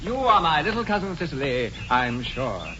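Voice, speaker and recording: Flippant, animated English dandy. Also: male voice, fairly high pitch, normal speed. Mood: playful. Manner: airy, breezily charming. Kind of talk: 1940s radio comedy acting. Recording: dull, noisy 1940s radio broadcast.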